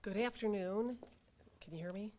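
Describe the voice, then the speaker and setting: Brief: hoarse older woman, county official, chatty podium remarks with many fillers. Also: mid-range voice, darkish tone, a little hiss or hum. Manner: chatty, halting with fillers and restarts. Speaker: county commissioner. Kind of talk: ceremony speech. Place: library dedication ceremony.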